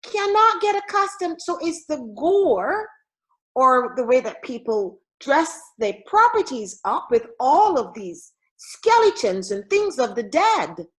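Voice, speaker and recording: A woman from Barbados, giving a formal, measured impromptu club speech with dramatic emphasis over a video call. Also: sits high, very animated, low-quality recording. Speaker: Toastmasters speaker.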